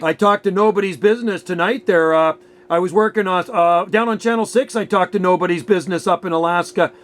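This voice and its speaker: A man's voice: high-pitched, a little fast, noisy background. A man chatting casually as a radio operator, chummy and slangy. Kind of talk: casual radio contact chat.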